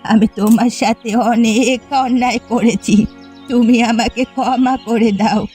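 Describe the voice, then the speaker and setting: A woman playing an elderly, clinging mother-in-law in an animated family drama, speaking with emotional emphasis. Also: on the high side, measured pace, faint music behind, natural voice. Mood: remorseful, pleading. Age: older. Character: elderly widowed mother-in-law, jealous, clinging, later remorseful.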